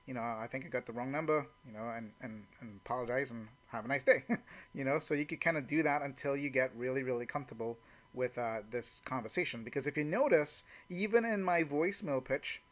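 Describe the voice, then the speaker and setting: A man's voice: mid-range voice, a little fast, fairly bright tone. A man working as a network marketing trainer, talking informally and off the cuff as he walks through call examples, often saying "you know". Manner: conversational, unscripted, frequent "you know".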